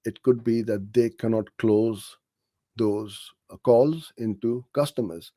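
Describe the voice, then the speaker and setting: A man's voice: medium pitch, takes it slowly, mellow, dry recording, clean studio sound. A man coaching in an explanatory, off-the-cuff way, talking through practical advice with examples. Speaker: digital marketing coach answering a question.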